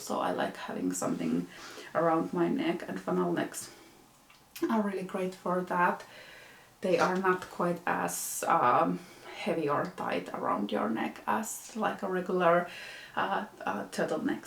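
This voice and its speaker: A woman's voice: lowish voice, creaky, reverberant, slight background noise. A young woman from Finland with a Finnish accent, hosting a knitting podcast and showing her projects in a hesitant, casual way with many fillers. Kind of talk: casual show-and-tell.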